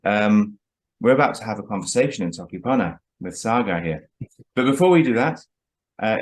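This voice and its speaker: A man hosting a casual interview, asking questions with a hesitant, friendly manner and frequent fillers. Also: fairly low pitch. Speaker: host. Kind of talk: casual interview over video call.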